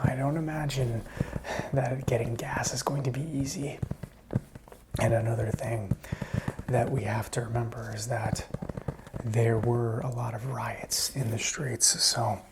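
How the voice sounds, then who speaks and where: Soft, whispery man acting as apocalypse survivor. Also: mid-range voice, a little hiss or hum, close to an everyday voice. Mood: gently concerned. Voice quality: soft, breathy, often whispered. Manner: slow, hesitant, rambling, soothing. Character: lonely, rambling male survivor of zombie apocalypse, soothing and apologetic. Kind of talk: ASMR roleplay, soft speaking and whispering.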